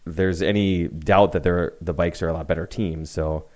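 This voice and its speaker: A man with a very low voice trades relaxed, teasing banter about sports with his co-hosts. He speaks loosely, stopping and restarting as the thought comes. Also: moderate pace. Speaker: podcast co-host. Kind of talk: casual sports chat.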